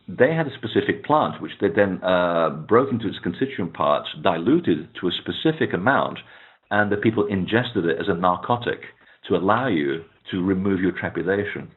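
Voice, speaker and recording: Guest speaker, man, Portuguese native, low voice, unhurried, lots of "uh"s, phone audio. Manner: relaxed, many "uh" fillers. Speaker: author and guest. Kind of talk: phone interview, explaining at length. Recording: tinny phone line.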